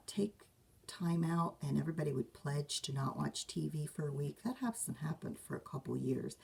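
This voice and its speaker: Female children's librarian, storytime read-aloud, slightly low creaky voice, expressive, speaks straight to the kids. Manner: expressive reading, talks directly to young listeners.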